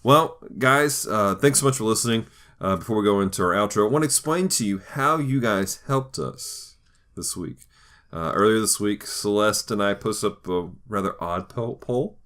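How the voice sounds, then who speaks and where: A man's voice: lowish voice, expressive, moderate pace, fairly bright tone. A man hosting a podcast in a casual, jovial way, laughing easily as he talks. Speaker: podcast host.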